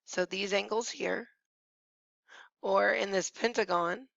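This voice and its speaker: A woman math teacher who has nearly lost her voice, hoarse and at times whispering, patiently talking through each step of a screencast lesson. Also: mid-range voice, fairly even intonation, slow, fairly bright tone, no room sound. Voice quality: hoarse, gravelly, sometimes dropping to a whisper. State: losing her voice. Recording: dry, dull lo-fi screencast audio.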